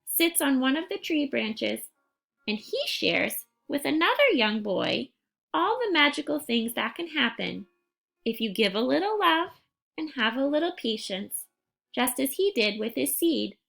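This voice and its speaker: A woman reading a picture book aloud for young children and giving gentle instructions, phrasing things deliberately and carefully. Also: sits high, big pitch swings, vocal fry.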